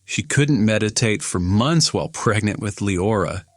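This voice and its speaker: An actor playing a calm, soothing meditation guide and father in an audio drama. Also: fairly low pitch, measured pace, dry recording, studio quality. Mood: fond.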